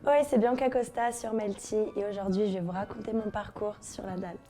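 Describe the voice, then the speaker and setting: A woman in her twenties from Brazil, a singer talking casually about her career, relaxed and slangy, often hesitating. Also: mid-range voice, brisk, loud music behind the voice. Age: 20s. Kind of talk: casual interview answers.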